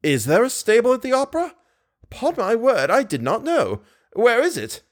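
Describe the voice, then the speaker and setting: A man's voice: very high-pitched, huge pitch swings, moderate pace, voice pushed up, full character voice. A man voicing a hot-tempered, sarcastic opera manager in a story, full of incredulous exclamations.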